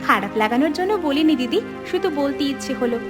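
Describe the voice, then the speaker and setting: Cartoon younger sister, played by a woman: high voice, feisty village girl grown up, outspoken, quick to protest, music behind. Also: animated. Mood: earnest. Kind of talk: animated family drama dialogue.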